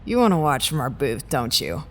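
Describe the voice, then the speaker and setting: An actress playing a harried, guarded scientist in naturalistic audio drama dialogue, halting and exasperated with a pushy colleague. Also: female voice, extremely low pitch, very animated. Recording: clear background noise, keyboard typing. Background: keyboard typing, room noise.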